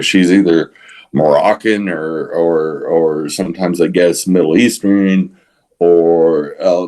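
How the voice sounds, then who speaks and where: A man with a Texas accent talking casually as a podcast co-host, halting often to repeat and rephrase his words. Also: low voice, warm tone. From San Antonio, Texas. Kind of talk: casual opinionated podcast talk.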